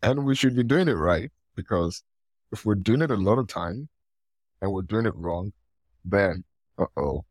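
Low-voiced Nigerian man, chatting. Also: animated, unhurried, long pauses, darkish tone, breathy edge, no echo, clean studio sound. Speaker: podcast co-host. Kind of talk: casual conversation.

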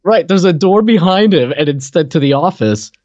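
A man's voice: on the high side, expressive, moderate pace, a little mellow, dry recording. Dull-sounding dry recording, amused podcast man. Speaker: podcast co-host. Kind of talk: casual chat, commenting on a TV comedy.

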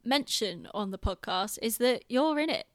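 A woman's voice: fairly high pitch, animated, normal speed, dry recording, clean studio sound. A woman co-hosting a podcast in casual chat, with an informal, bantering manner. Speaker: podcast co-host.

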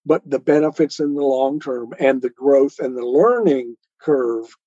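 A man's voice: medium pitch, unhurried, mellow, no room sound, highs cut off. A man talking as a guest author in a conversational interview, earnestly explaining and posing rhetorical questions. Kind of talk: conversational interview talk on life choices.

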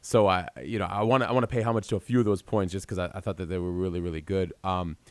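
A man's voice: deep voice, fairly even intonation, quick pace, no echo. A man hosting a casual interview, reacting enthusiastically and rambling through his follow-up points. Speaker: host.

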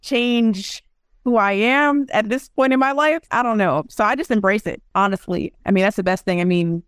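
A woman's voice: mid-range voice, normal speed, clean tone, no echo, clean studio sound. A young woman, a guest in a casual interview, telling stories and acting out what people said. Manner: animated storyteller, acts out quotes.

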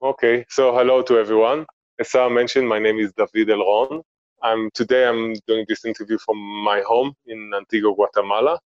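A man in his forties with an Israeli accent, a podcast guest speaking slowly and reflectively from home, often searching for words. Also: lowish voice, fairly even intonation, dry recording, dull audio. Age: 40s. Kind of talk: podcast interview. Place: home, remote interview.

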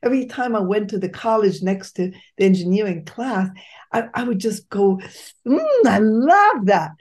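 A middle-aged woman from Egypt recounts her life in an eager, emphatic way. She pauses on fillers, restarts sentences, and leans hard on key words as a podcast host and doctor. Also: medium pitch, very animated, a little mellow. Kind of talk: conversational storytelling interview.

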